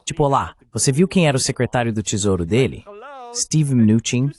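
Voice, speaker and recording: A man's voice: medium pitch, lively intonation, brisk, darkish tone. A man giving translated voice-over political commentary, opinionated and fond of rhetorical questions.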